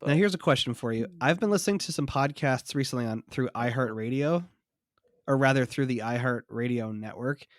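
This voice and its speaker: A man co-hosts a casual podcast, his voice a little stuffy as he gets over a cold. He talks in an easygoing, chatty way, happy to drop trivia and poke fun at himself. His pitch sits in the ordinary middle, and the sound is dry and close. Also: a little fast, no room sound. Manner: chatty, shares trivia, self-deprecating. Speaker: podcast co-host. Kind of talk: casual chat.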